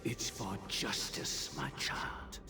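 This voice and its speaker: An actor playing a calm, grave old master and father figure in a video game, speaking in a solemn, measured, patronizing way. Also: close to an everyday voice. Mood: grave, commanding. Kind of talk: dramatic video game acting. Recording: game audio under loud music.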